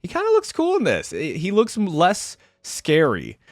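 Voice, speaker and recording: A man's voice: sits high, wildly swooping pitch, moderate pace, no room sound, clean studio sound. A man giving casual, informal reaction commentary on game character skins, thinking aloud as he goes. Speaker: reaction video host.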